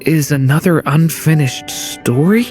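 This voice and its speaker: A woman voicing a reserved young woman in a video game, hesitant, understated and softly puzzled. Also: low voice, measured pace. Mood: pensive. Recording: music playing under the voice.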